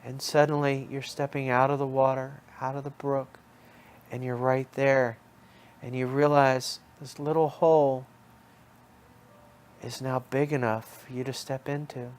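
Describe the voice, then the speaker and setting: A man with a mid-pitched voice leads a guided imagery session. He narrates like a hypnosis practitioner, soothing the listener. Phrases come back again and again in a hypnotic pattern. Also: little pitch movement, a little slow, a little mellow. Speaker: guided relaxation storyteller.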